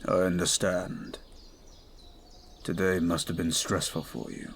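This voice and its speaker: A man voicing a towering male goliath bodyguard who is stoic, dutiful and protective, speaking in a formal, measured, commanding way in an audio roleplay. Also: deep voice, fairly even intonation, gravelly, slight background noise. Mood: dry, gentle.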